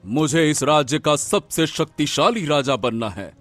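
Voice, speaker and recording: A man's voice: a little high, animated, measured pace, fairly bright tone, dry recording, faint music behind. A man voicing an arrogant, power-hungry cartoon king with an imperious, theatrical delivery. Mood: imperious, greedy. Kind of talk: cartoon story voice acting.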